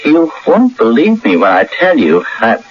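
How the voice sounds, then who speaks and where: Radio play: nervous, stammering little-man bookkeeper, earnest, very animated delivery, dull old broadcast sound. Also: medium pitch, moderate pace, a little hiss or hum. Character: anxious ordinary bookkeeper burdened with miraculous powers. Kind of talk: radio drama acting.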